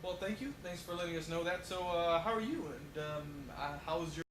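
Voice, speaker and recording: A man's voice: fairly high pitch, fairly quick, natural voice. A man playing a friendly, polite talk show host in a homemade mock interview, chatty and hesitant, on echoey, noisy audio. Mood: polite, welcoming.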